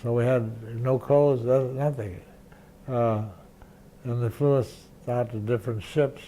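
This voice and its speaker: An older man, a veteran journalist, recounting memories in an interview, halting and restarting often. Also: medium pitch, fairly even intonation, mellow, slight background noise, low-quality recording. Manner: halting, many restarts and fillers. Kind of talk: reminiscing interview.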